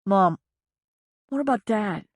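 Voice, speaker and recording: A woman's voice: medium pitch, animated, slow pace, no echo, studio quality, voice pushed up. A woman voicing an ashamed young adult son who pleads with his mother, his voice choked with tears. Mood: tearful.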